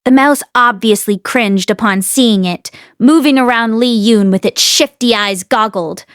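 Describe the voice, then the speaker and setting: High-pitched woman reading a story aloud. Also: dry recording, clean studio sound. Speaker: audiobook reader. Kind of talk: scripted narration of a fantasy novel.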